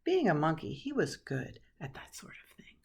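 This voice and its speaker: A woman reading a children's picture book aloud expressively, with dramatic pauses and hushed asides. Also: deep voice, huge pitch swings, vocal fry, slightly rough. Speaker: storybook reader.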